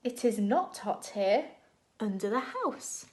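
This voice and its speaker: A woman reading a picture book aloud to young children in an expressive, deliberate storytime style. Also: on the high side, slight background noise. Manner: expressive, animated, deliberate phrasing for small children.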